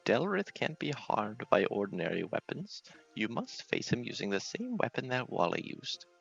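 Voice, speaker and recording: A man's voice: lowish voice, big pitch swings, normal speed, gravelly, a bit bright, husky, dull audio, faint music behind, heavily acted. A man voicing an elderly, mystical fortune-teller with a theatrical, portentous way of talking. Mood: solemn.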